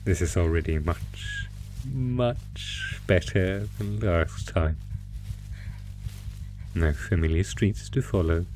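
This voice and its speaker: A man voicing a sinister, sadistic monster from under the bed in a horror roleplay, taunting his prey in a deliberate, mock-genteel, theatrical way. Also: sits low, low-quality recording. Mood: excited gloating. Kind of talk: horror roleplay audio, slow theatrical menace. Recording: music under the voice. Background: music.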